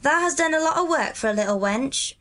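A storybook country lad of twelve played by a woman, cheerful and earthy, with a broad Yorkshire accent. The voice sits fairly high and talks in hearty, good-natured rustic dialect. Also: animated, fairly quick, a bit bright. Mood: earnest, delighted.

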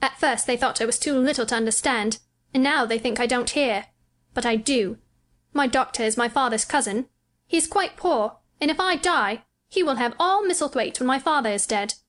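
A woman's voice: sits high, normal speed, fairly bright tone, voice pushed up. A woman reading an audiobook, voicing a sickly, spoiled ten-year-old boy who talks in a demanding, coolly indifferent way. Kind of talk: audiobook character voice.

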